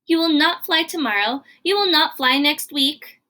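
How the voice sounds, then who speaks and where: A woman's voice: high-pitched, expressive, normal speed, pushed and bright, pitched above the performer's own voice. A woman voicing a cautious, doubtful elephant friend in a children's storybook reading, speaking firmly and matter-of-factly.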